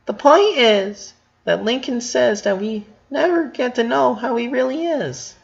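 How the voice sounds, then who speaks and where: A man's voice: very high-pitched, animated, unhurried, vocal fry, dull audio, voice pushed up, exaggerated voice. A man voicing a bubbly, scatterbrained, sweet teenage sister who talks in hesitant valley-girl phrasing in a story reading. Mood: exasperated, excited.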